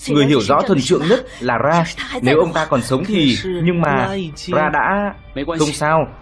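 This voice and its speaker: A man performs an impulsive young warrior hero from a cartoon dub. The voice sits a little high for a male and is earnest in manner. Loud music plays underneath it. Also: normal speed, close to an everyday voice. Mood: worried.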